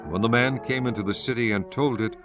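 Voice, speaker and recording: A man reading scripted scripture narration in a solemn, measured manner. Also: mid-range voice, music in the background.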